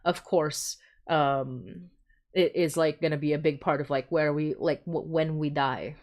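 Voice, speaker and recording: A woman's voice: sits low, steady tone, a little slow, a little mellow. A woman from the Philippines hosting a livestream, chatting informally and thinking aloud as she explains things. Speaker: podcast creator hosting a fundraising livestream. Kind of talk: casual rambling livestream explainer.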